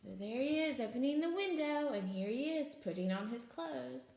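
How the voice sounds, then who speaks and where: A woman's voice: sits high, expressive, normal speed, a little mellow. A woman reading a picture book aloud as a teacher, with simple explanatory asides and questions for young children, in an echoey room.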